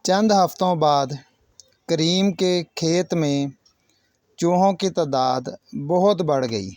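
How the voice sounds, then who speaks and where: A man's voice: fairly high pitch, a little mellow, no echo, studio quality. A man narrating a moral story for children like a teacher, speaking directly to young listeners in short separated phrases. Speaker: children's storyteller and channel host.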